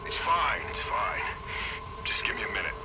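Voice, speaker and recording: An actor playing a rough, cynical street criminal in a video game, slangy and streetwise. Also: male voice, medium pitch, very animated, moderate pace, close to an everyday voice. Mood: bitter. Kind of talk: dramatic video game acting. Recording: very heavy engine-like ambient noise.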